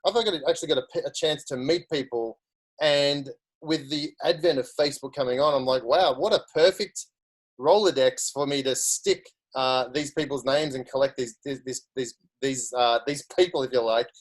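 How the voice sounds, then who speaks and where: A man's voice: medium pitch, normal speed, rough recording. A middle-aged man with an Australian accent, chatting as a guest speaker about his career, often restarting his sentences. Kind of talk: casual career story.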